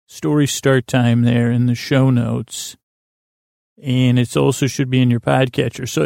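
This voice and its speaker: A man delivers a soothing, meandering bedtime monologue as a podcast host. His creaky voice is soft-edged and stays within a very flat range. He stumbles and circles back in a halting, self-deprecating way. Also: medium pitch, no echo, studio quality.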